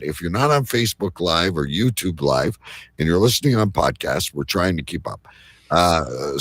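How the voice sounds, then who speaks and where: Male host, low register with lots of movement, loose rambling live-show banter, close dry sound. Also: a bit bright, breathy edge, no echo. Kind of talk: casual live show chat.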